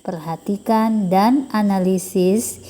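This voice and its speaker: A woman primary school teacher, explaining a lesson to children slowly and carefully. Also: medium pitch, mellow. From South Sulawesi, Indonesia. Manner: slow, careful explaining to pupils. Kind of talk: recorded online lesson for children.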